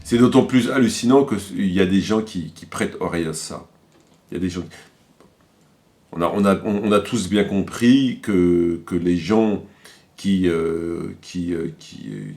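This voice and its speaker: Male commentator, slightly deep voice, unscripted and halting delivery, indignant emphasis, addressing online viewers. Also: a little mellow. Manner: halting and repetitive, searching for words. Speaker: religious commentator and video presenter. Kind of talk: informal spoken critique addressed to viewers.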